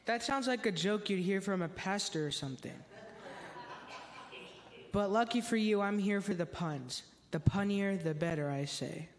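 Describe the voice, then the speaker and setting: A young man playing a chatty, excitable, pun-loving teenage boy in a church reader's theater, recorded in an echoey hall. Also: sits high, moderate pace. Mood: amused. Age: teenager or young adult.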